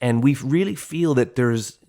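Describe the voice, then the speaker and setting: A man's voice: medium pitch, unhurried, dark tone. A man in his forties co-hosting a teachers' podcast, speaking in an earnest, discursive way and often rewording himself as he reflects. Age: 40s.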